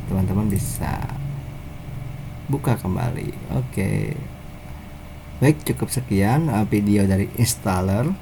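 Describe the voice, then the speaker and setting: Slow, low-voiced Indonesian man. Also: creaky, background noise. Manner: casual, halting step-by-step delivery. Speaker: software developer presenting own app. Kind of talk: informal software tutorial narration.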